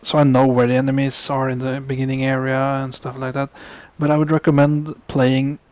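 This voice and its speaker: Man, from Norway, Norwegian first language, casual game commentary, halting, self-correcting, average pitch. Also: completely flat delivery, darkish tone, no echo. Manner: halting, self-correcting, mutters asides under his breath. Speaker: gaming commentator.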